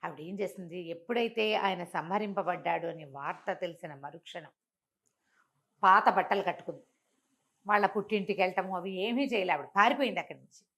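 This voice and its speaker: A woman's voice: on the low side, fairly quick, a bit bright. Woman telling a folk tale conversationally. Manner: explanatory, uses repetition and acts out dialogue in passing. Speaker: storyteller. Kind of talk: conversational oral storytelling of a moral folk tale.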